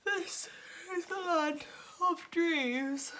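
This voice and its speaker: A woman's voice: extremely high pitch, very slow, bright, forceful tone, slight background noise, pitched above the performer's own voice, full character voice, poor audio. A woman voicing a friendly, easy-going magical man in a children's storybook. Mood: drowsy. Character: jolly, sleepy round-faced Moonface, friendly magical man.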